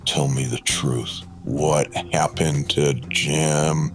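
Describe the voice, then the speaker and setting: Super deep gravelly noir wrestler tough guy, really slow and threatening, music behind. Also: fairly even intonation, exaggerated voice. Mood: grim interrogation. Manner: terse, menacing, barely opening his mouth. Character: grim masked wrestler vigilante, menacing gravel-voiced man. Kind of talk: pulp noir audio drama acting.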